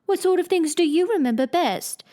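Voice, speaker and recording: A bright, polite little girl of seven, as a woman reads her, curious and earnest. Her high voice rises and falls lively. She sounds like a storybook reading. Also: brisk. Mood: puzzled, polite.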